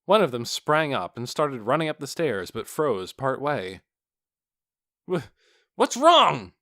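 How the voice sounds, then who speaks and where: A man narrates a fantasy audiobook in a mid-pitched voice. His delivery is expressive, with wide pitch movement. The recording is dry and close, with no room sound. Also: normal speed, no echo. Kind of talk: scripted fantasy fiction narration.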